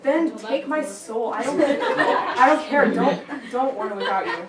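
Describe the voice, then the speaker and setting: Woman acting high, pleading lovesick teenage girl. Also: unhurried, close to an everyday voice. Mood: desperate pleading. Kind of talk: comedic live dramatic script reading. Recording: distant mic in echoey room, audience noise.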